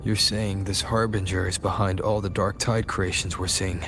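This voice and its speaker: An actor playing a serious, suspicious young warrior in a video game, stern and probing. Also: male voice, deep voice, flat delivery, normal speed, over music. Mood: wary, questioning. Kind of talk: scripted video game voice acting.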